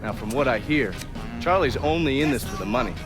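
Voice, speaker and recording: A man's voice: mid-range voice, animated, a little fast, music in the background, close to an everyday voice. An actor playing a cynical, hot-tempered male intelligence operative in a video game, brash and talkative. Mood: briefing, confident. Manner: brash, foul-mouthed, talkative.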